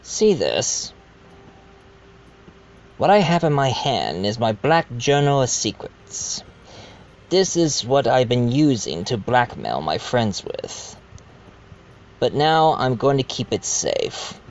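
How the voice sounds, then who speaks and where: A man's voice: mid-range voice, big pitch swings, muffled recording. A man playing a genial but scheming wealthy host in an amateur murder-mystery audio drama, speaking formally and courteously. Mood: sly, confiding. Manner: formal, deliberate, addresses guests courteously. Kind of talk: amateur audio drama acting, part narration.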